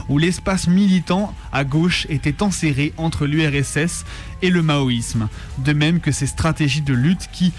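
A man's voice: a little high, darkish tone. A man reading a scripted documentary narration as a radio presenter. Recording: music playing behind the voice. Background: music.

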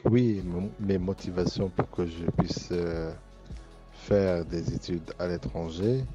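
A middle-aged man from Morocco, an Arabic speaker, giving an earnest, measured interview as a university professor, often pausing on hesitant fillers. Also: low-pitched, mellow, dull audio. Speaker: university professor and engineering director. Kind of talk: interview testimony for a student podcast.

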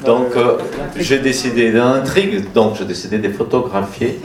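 A man whose first language is Portuguese, speaking French at a panel and halting as he searches for words. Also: mid-range voice, echoey room, distant mic, crowd noise. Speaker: novelist. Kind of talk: panel discussion.

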